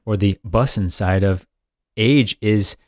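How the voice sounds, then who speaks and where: A man's voice: fairly low pitch, slow, no echo, clean studio sound. A man in his mid-thirties, co-hosting a podcast in an upbeat, rambling chat. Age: 30s. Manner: rambling, upbeat, laughs often. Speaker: podcast co-host. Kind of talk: casual chat.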